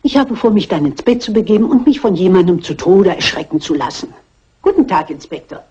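An older woman playing a shrewd, determined elderly lady detective in a dubbed classic mystery film, brisk, polite and self-assured, with a husky voice. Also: on the low side, mellow. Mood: confident, scheming. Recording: muffled old film soundtrack.

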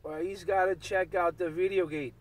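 A man's voice: high voice, steady tone, moderate pace, a crowd in the background. A man in casual, slangy CB radio banter, repeating catchphrases over and over. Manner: repetitive chanting of catchphrases, slangy. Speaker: CB radio operator. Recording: distant CB radio transmission, outdoor vehicle sound.

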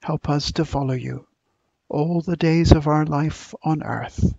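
A man, a church minister, reading a welcome, scripture and prayers in a solemn, measured, deliberate manner. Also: a little high, fairly even intonation, creaky, mellow, dry recording, dull audio. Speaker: church minister leading an online service.

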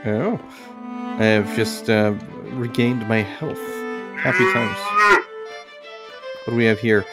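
A man's voice: on the low side, takes it slowly. A man giving casual, understated let's play commentary, musing aloud as he plays. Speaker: gaming video host. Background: game music behind the voice.

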